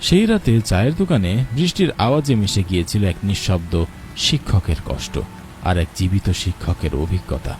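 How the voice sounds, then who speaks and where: A man's voice: sits low, expressive, moderate pace, a little mellow, faint music behind. A man playing a reflective narrator and wary friend, telling an atmospheric scripted ghost story. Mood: reflective, ominous. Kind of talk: atmospheric scripted horror storytelling with dramatised dialogue. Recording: rain and thunder behind the voice. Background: rain, thunder.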